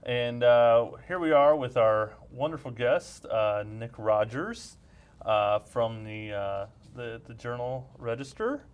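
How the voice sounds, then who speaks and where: A man's voice: medium pitch, animated, slow pace, smooth. An American man co-hosting a podcast and interviewing in a casual, conversational way.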